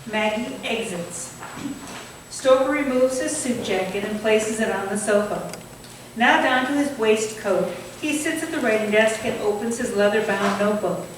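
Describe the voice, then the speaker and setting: A woman with a fairly high voice narrates stage directions from a script. She is some way from the microphone in a very echoey hall, with faint noise. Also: normal speed, recorded from a distance. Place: indoor theatre space, staged reading.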